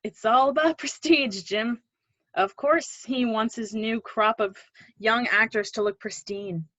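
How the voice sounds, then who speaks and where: A woman reading the part of an older, guarded but protective woman in a play, conversational and gently teasing. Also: on the high side, a little slow, no echo. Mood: wry, teasing. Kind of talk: play readthrough acting.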